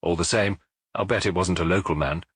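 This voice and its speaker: A man's voice: deep voice, a little fast, husky, studio quality. A man voicing a chatty, knowing dance-hall proprietor with a familiar, confiding manner in an audiobook. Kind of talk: audiobook character dialogue.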